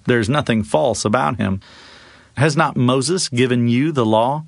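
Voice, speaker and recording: A man's voice: mid-range voice, a little slow, creaky, dry recording. Man acting earnest teacher, male, emphatic declarations, scripture storytelling, dry recording. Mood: firm, earnest.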